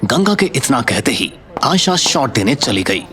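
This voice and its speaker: A man's voice: mid-range voice, sing-song, moderate pace, pushed and bright, a little hiss or hum. A man narrating a scripted audio story in a dramatic storytelling style.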